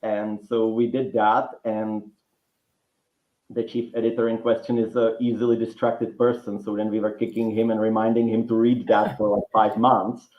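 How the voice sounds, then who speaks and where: A man's voice: on the low side, little pitch movement, normal speed. A man with a Czech accent, a writing teacher and guest author, talking in a rambling way with many restarts and fillers. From Czech Republic. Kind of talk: relaxed interview conversation. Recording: roomy, dull lo-fi sound.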